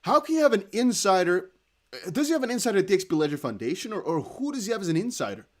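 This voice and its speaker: A young man with a Dutch accent, hosting a crypto livestream in casual chat, reading viewer comments aloud. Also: high voice. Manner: chatty, animated, reads viewer comments aloud with quick laughs.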